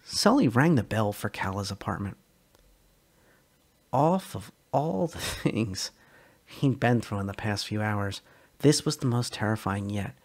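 A man narrating a science fiction short story as a dramatic reading, measured and expressive. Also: lowish voice.

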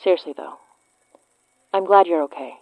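A woman's voice: fairly low pitch, moderate pace, no room sound, highs cut off, lightly acted. An actress playing a wry, concerned female investigator in an audio drama, taking a colleague's statement in a dry conversational way. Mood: wry, caring.

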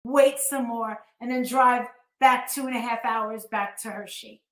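A woman's voice: a little high, steady tone, unhurried, fairly bright tone, reverberant. A middle-aged American woman ranting casually on a livestream in a blunt, scornful, sweary manner. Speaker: YouTube host. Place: living room at home, indoors.

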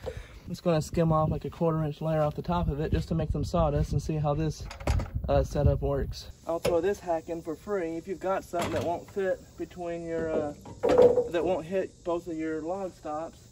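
Man, 30s, plainspoken how-to talker, slightly high and even; handheld phone outdoors with steady background noise. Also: normal speed. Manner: plainspoken, explains while working with his hands. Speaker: sawmill hobbyist showing tips. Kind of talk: casual how-to demonstration. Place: outdoors by a barn at a small farm sawmill. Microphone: phone camera held by the speaker.

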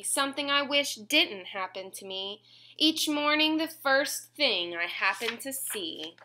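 A young woman reads a children's picture book aloud in a small room at home. Her voice is high and bright, with lively swings of pitch. She phrases each line clearly and expressively for little listeners, taking her time. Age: 20s. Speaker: children's story reader.

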